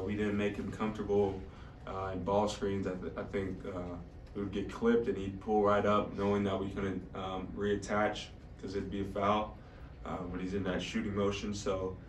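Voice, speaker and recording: Give a young man in his twenties with a lowish, very even voice. He answers like an athlete at a press conference, halting as he goes. The room is echoey. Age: 20s. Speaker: college basketball player. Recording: echoey room, distant mic, faint noise.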